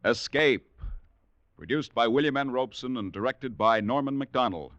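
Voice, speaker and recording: A man's voice: mid-range voice, normal speed, fairly bright tone, no echo, soft background music. A man announcing in a formal 1940s radio style, reading out scripted credits. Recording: dull, dry vintage radio recording.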